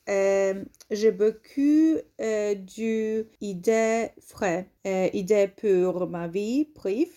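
A woman whose first language is Polish speaks French slowly and carefully, with a Polish accent. She hesitates often and searches for words as she answers questions in an interview. Her pitch sits in an ordinary middle range. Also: warm tone. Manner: slow, halting, many hesitations and searched-for words. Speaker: guest, walking language course founder.

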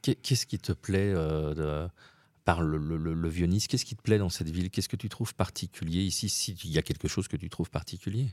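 A man's voice: deep voice, moderate pace, a little mellow. A man interviewing a guest, asking casual, teasing questions.

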